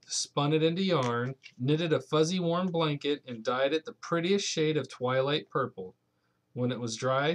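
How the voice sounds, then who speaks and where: A young man reading a bedtime story aloud as a parent to his child, with casual asides. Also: a little high.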